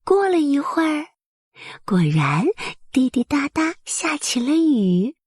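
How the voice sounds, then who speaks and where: A woman narrating a bedtime story for small children in a soft, breathy voice, with gentle, soothing and careful phrasing. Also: sits high, very animated, takes it slowly, no echo, studio quality.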